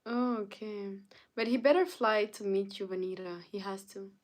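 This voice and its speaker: A woman's voice: medium pitch, normal speed. A young woman from Canada hosting a casual live stream, greeting viewers by name and answering their chat comments. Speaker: livestreamer.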